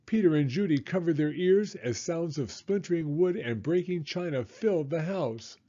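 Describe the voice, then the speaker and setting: Expressive male storyteller, animated and mellow. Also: on the high side, normal speed, lo-fi sound. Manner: expressive, dramatic. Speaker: children's story reader. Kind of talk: scripted storybook narration.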